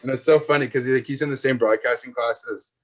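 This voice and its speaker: A man's voice: mid-range voice, steady tone, quick pace. Dull-sounding young man, rambling and slangy. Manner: rambling, slangy, full of "like" and "dude". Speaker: podcast guest, filmmaker. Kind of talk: casual chat.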